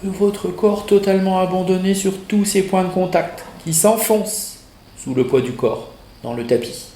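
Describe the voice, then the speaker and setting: A man leading a guided yoga relaxation meditation as instructor, giving measured instructions in short phrases with pauses between them. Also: sits high, dark tone, a little hiss or hum. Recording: roomy echo, music behind the voice.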